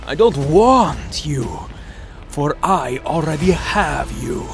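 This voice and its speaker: A man's voice: fairly high pitch, animated, takes it slowly, creaky, music in the background, exaggerated voice. An actor playing a menacing ancient male vampire lord, gloating and theatrical, in an audio drama.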